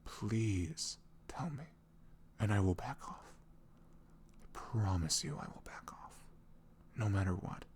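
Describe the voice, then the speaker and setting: A man playing a sweet, caring boyfriend in an intimate ASMR roleplay, speaking softly and tenderly in a velvety, often breathy voice with earnest, rambling reassurance. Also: lowish voice, expressive, slow, pauses often. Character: sweet, caring, devoted young boyfriend talking in bed.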